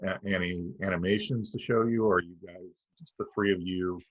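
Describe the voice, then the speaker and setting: A man asking questions in a casual interview, starting and restarting them haltingly. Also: low voice, steady tone. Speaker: co-host interviewer. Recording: dull lo-fi audio.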